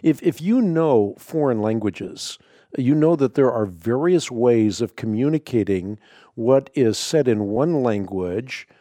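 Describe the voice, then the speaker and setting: A man's voice: medium pitch, lively intonation, unhurried, mellow, no echo, clean studio sound. A middle-aged man whose first language is Dutch, hosting a call-in radio show and answering questions emphatically, often repeating words as he thinks. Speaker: radio host. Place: radio studio.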